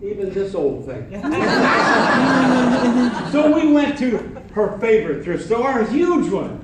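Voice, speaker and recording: A man giving a theatrical, humorous storytelling speech to an audience, with dramatic, drawn-out emphasis. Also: very high-pitched, sing-song. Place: large conference room with live audience. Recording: echoey hall from far off, faint noise.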